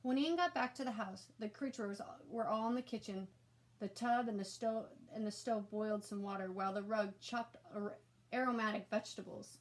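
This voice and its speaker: A woman narrating a children's storybook aloud in an animated, expressive and emphatic way. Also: mid-range voice, normal speed, poor audio.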